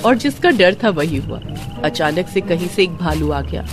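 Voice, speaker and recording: Woman storyteller, expressive and dramatic, with a lively voice over background music. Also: on the low side, moderate pace. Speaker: storyteller voicing every character. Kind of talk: scripted children's story narration. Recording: music bed behind voice.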